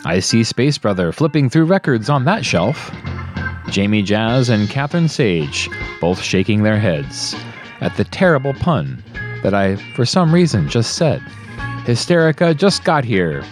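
Lively man reading rhymes over guitar music. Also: medium pitch. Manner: playful, theatrical storytelling. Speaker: DJ on a radio show. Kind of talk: rhymed holiday poem reading. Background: plucked guitar music.

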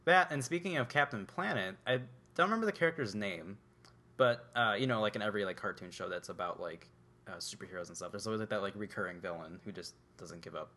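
A man's voice: fairly low pitch, fast, bright, forceful tone. Rambling young guy, casual podcast chat. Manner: rambling, lots of "like" fillers. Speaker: podcast co-host.

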